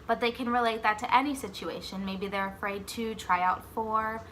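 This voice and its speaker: Bright-voiced woman reading aloud to children. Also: a little high, fairly even intonation, slight background noise. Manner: pauses to ask simple questions and echo the answers. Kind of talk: expressive picture-book read-aloud with questions to children.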